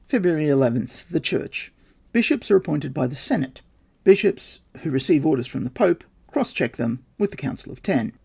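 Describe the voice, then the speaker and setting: A man's voice: medium pitch, gravelly, a little mellow, slightly rough. A man hosting a podcast, reading his research notes aloud with dry, wry asides. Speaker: podcast host.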